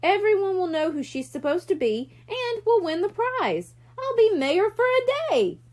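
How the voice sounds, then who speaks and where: A woman voices an eager young boy planner in a children's audiobook. He sounds high and lively, sensible and assertive as he explains. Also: moderate pace. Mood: enthusiastic.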